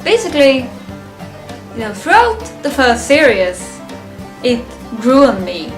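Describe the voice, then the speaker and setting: A woman with a fairly high voice talks slowly through a casual fan review. She is unscripted and halting, working out her thoughts aloud and often chuckling, with some music behind her. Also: big pitch swings. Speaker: reviewer presenting a ranked list.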